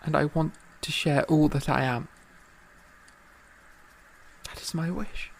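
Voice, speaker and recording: An actor playing an earnest, impassioned and self-doubting young composer in a period audio drama, with stammering, effusive, formal old-fashioned speech. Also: male voice, on the high side, slow, creaky, full character voice. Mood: shy, hopeful.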